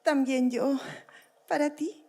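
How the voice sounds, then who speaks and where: A woman's voice: high-pitched, fairly even intonation, slow, warm tone. A Chilean actress playing a stubborn but affectionate young schoolgirl sister in a theatrical, emotional dramatized reading. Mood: tender. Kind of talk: dramatized stage reading, radio play.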